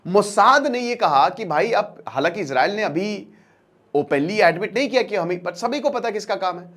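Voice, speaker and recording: High-pitched man giving an informal explainer. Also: expressive, moderate pace, fairly bright tone. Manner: colloquial, addresses listeners directly, repeats phrases for emphasis. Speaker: current affairs educator and channel presenter. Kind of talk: informal explainer lecture, storytelling with acted-out dialogue.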